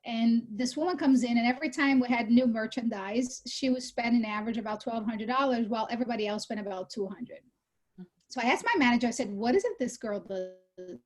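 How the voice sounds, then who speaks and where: A woman in her thirties, born in Brazil, talks through her story as an interview guest. Her voice sits fairly high, and she speaks in an animated, conversational way. She often stops to correct herself mid-sentence. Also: fairly quick. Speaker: guest, real estate CEO. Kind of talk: casual interview chat.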